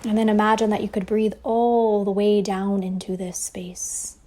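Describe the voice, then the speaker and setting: A woman leading a guided meditation as a teacher, speaking softly and breathily in a soothing way with long pauses, over ocean waves. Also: mid-range voice, little pitch movement. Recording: faint ambient wave noise behind voice. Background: ocean waves sound effect.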